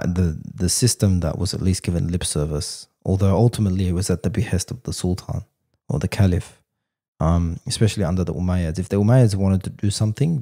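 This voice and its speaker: A man co-hosting a podcast, arguing his points in casual, animated debate and often saying "bro". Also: low voice. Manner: animated, argumentative, says "bro" a lot. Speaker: podcast co-host.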